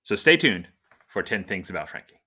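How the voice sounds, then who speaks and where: A middle-aged man hosting a casual podcast, chatting and telling stories with animated, emphatic build-ups. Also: sits low, a little fast. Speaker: podcast host.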